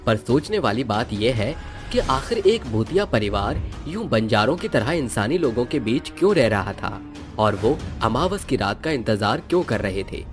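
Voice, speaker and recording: Lively male storyteller over music. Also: medium pitch, moderate pace. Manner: expressive storytelling. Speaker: storyteller narrator. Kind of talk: scripted narration of a moral fantasy story. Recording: music under the voice.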